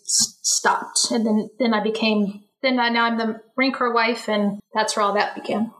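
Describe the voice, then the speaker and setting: A woman's voice: fairly high pitch, fairly even intonation, normal speed, fairly bright tone, poor audio. A woman in her forties, from the Bay Area, telling a long personal story in a chatty interview manner, with many restarts and asides. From San Francisco Bay Area. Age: 40s. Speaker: interview guest, Navy veteran. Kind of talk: casual storytelling.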